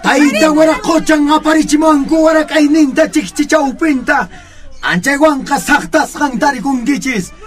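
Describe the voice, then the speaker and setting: A man acting a fierce battle leader in a colonial Andean radio drama, bellowing urgent orders and drawn-out cries. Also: very high-pitched, soft background music. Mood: defiant, rallying. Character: fierce male battle leader rallying fighters in colonial Andes. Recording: loud battle crowd din around the voice.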